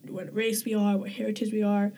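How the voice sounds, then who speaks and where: Female guest, warm low-key tone, loose and halting delivery, lots of fillers. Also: little pitch movement. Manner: hesitant, with many fillers and restarts. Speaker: guest community member. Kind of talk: casual conversation.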